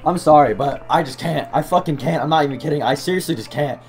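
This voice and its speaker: Hoarse young gamer yelling at a game. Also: male voice, on the high side, fast talker, over loud music. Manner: swears and shouts in frustration. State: hoarse, losing his voice. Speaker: gaming YouTuber. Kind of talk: webcam gameplay commentary. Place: small room at a desk. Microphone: desk mic beside keyboard, wearing headset.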